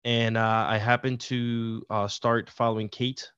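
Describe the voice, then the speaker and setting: A man's voice: medium pitch, flat delivery, measured pace, fairly bright tone, clear, resonant tone, no echo. Middle-aged man from Puerto Rico, guest, loose rambling storyteller; dull, dry video-call sound. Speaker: podcast guest. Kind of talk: casual interview chat.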